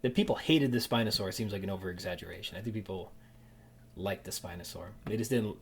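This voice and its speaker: A man hosting a podcast in casual conversation, thinking aloud in a halting, musing way and often rephrasing mid-thought. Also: on the low side, a little hiss or hum. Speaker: podcast host. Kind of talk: casual interview chat.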